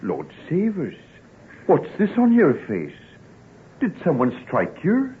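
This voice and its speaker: A man's voice: on the high side, huge pitch swings, slow pace, heavily acted. An actor playing a tender, stubborn young countryman with a rural English accent in an old radio drama. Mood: concerned, soothing. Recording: tinny, hissy old radio drama recording.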